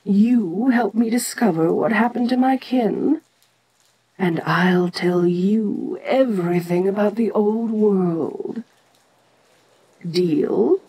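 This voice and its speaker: A woman playing an ancient, domineering giantess kaiju queen in an audio roleplay, imperious and teasing yet protective, speaking with deliberate pauses. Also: mid-range voice, dark tone, breathy edge, rough recording. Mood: commanding, reassuring. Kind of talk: second-person audio roleplay acting.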